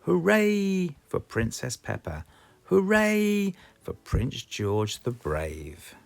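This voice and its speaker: Slow, gravelly British storyteller man. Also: fairly high pitch. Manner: slow, expressive, sing-song storytelling. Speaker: storybook reader. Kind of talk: children's storybook read-aloud.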